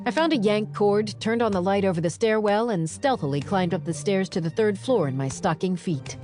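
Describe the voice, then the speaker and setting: A woman narrating a dramatized audiobook in the first person, with wry, expressive storytelling. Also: mid-range voice, normal speed, faint music behind.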